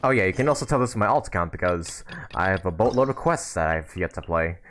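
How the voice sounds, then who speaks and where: A man's voice: sits low, animated, quick pace, fairly bright tone, slight background noise. A man hosting a casual game livestream, rambling and thinking aloud as he chats to viewers. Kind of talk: casual livestream chat while playing a game. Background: game music.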